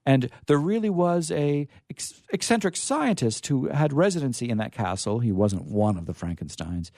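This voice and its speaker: A man's voice: medium pitch, lively intonation, moderate pace, a little mellow, dry recording, clean studio sound. A man hosting a radio theater show, chatting casually and unscripted in a rambling, hesitant way. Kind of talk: casual unscripted on-air chat. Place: radio studio.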